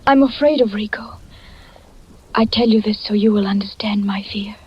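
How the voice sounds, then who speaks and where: An actress playing a frightened, gentle young wife who speaks in a hushed, breathy near-whisper, in 1940s radio drama style. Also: female voice, mid-range voice, moderate pace. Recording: lo-fi old radio recording, faint music.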